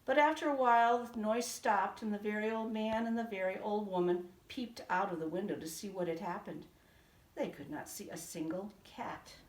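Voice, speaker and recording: A woman reading a children's picture book aloud in a sing-song, expressive way. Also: medium pitch, moderate pace. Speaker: storybook reader. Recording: roomy echo, from a distance.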